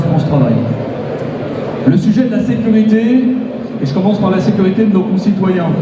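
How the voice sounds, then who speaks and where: A man with a fairly high voice delivers a formal, measured prepared address as the state's representative, running through long lists of figures. His voice carries a long reverb from a large hall. It is picked up from afar, with constant background noise. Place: large exhibition hall at a public event. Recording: echoey hall, distant mic, background noise.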